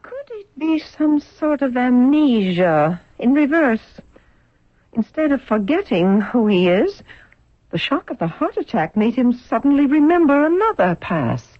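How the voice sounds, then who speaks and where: Concerned nurse for radio drama: breathy, lively inflection, dry and muffled recording. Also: female voice, sits high, a little slow, dry recording, heavily acted. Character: concerned, supportive female hospital nurse. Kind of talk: 1970s radio drama acting.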